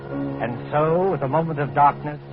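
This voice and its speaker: Highish-pitched ominous male radio host. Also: gravelly, music in the background. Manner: deliberate, ominous. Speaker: radio drama host and narrator. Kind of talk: 1940s suspense radio narration, scripted. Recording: muffled old radio recording.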